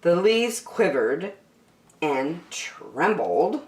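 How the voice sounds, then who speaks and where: A woman reading a children's storybook aloud as storyteller, expressive and emphatic, drawing out key words. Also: on the low side, very slow. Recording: echoey room.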